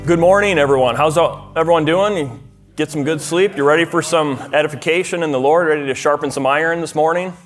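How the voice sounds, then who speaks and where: A man's voice: on the high side, fast talker, soft background music. A man in his forties from Minnesota, with a Minnesotan accent, giving a conversational talk at a church conference, full of fillers and restarts. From Minnesota, USA. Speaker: conference speaker. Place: church hall.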